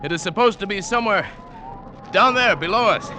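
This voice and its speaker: An actor playing an earnest, superstitious South American mountain guide telling his perilous adventure in a measured, dramatic 1940s radio style. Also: high-pitched, background noise, natural voice. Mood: grave, wary. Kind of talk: 1940s-50s radio drama acting and narration. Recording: vintage radio recording with music.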